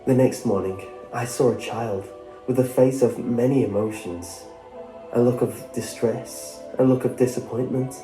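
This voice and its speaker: A man reading a scripted first-person horror story in a measured storytelling way. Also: medium pitch. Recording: roomy sound with music behind. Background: background music.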